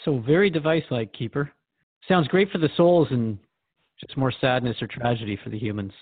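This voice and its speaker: A man's voice: mid-range voice, a little fast, no room sound, narrow, tinny audio, close to an everyday voice. A man playing a thoughtful, inquiring radio host, conversational and reflective as he interviews in a radio drama. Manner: reflective, questioning.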